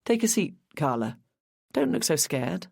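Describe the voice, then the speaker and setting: A woman voicing a calm, kindly female police detective who questions gently and reassuringly. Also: low voice, a little slow, gravelly, husky, no room sound, clean studio sound, exaggerated voice.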